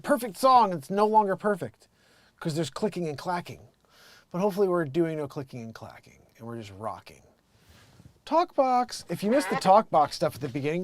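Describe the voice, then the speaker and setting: A man chatting casually and excitably to viewers as a livestream host, rambling off into asides. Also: a little high, no echo. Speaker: live stream host and street-style musician.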